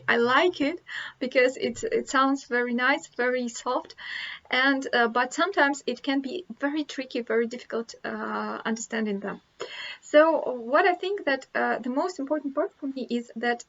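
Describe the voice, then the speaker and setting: A woman's voice: a little high, fairly bright tone, highs cut off. A woman from Russia with a Russian accent, a language teacher chatting casually to camera, hesitant with many fillers and restarts.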